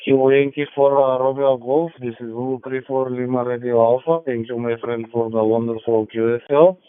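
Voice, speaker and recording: A man's voice: mid-range voice, steady tone, fairly quick, clear, resonant tone, no room sound. A man with a Macedonian accent making a friendly ham radio exchange over a radio link. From North Macedonia. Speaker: amateur radio operator. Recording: thin narrowband digital radio transmission.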